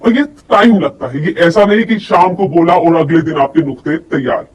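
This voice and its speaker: A woman's voice: on the low side, moderate pace, darkish tone. A woman giving an instructional lesson as a voice coach, speaking directly to listeners and posing questions to them. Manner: addresses listeners directly with demonstrations and rhetorical questions. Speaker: voice and personality development coach. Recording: distant microphone, faint background noise.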